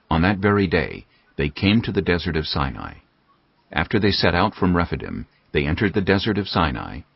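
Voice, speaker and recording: A man narrating a dramatized Bible reading in a calm, steady storytelling manner. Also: low voice, breathy edge, dry recording. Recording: muffled audio under orchestral music.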